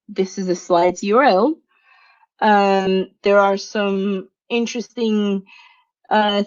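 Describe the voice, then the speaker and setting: A woman's voice: mid-range voice, no echo, muffled recording. A woman from Venezuela giving a tech talk over a video call, chatty and often laughing. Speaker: conference speaker.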